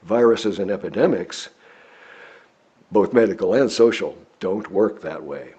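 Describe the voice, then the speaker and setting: An American man reading a sermon from a script, speaking in measured, deliberate phrases with emphatic pauses. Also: deep voice, animated, mellow, breathy edge, muffled recording.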